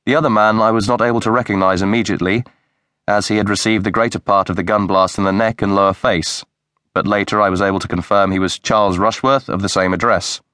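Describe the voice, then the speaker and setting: Male narrator, lowish voice, measured read, close dry studio sound. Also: dry recording. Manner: measured, even storytelling. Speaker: audiobook narrator. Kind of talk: scripted audiobook narration. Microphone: close studio mic.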